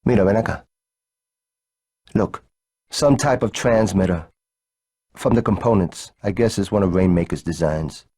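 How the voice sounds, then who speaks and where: Gruff aircraft mechanic for game dialogue, deep and rough-edged, dependable, music underneath. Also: male voice, normal speed, long pauses, dark tone. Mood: focused, grim. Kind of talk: video game cutscene dialogue. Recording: music behind the voice.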